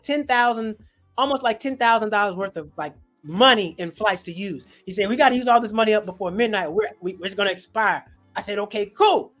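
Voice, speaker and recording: Comedian host, casual slangy storyteller, middle pitch, faint music underneath. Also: fairly bright tone. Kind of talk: casual livestream chat.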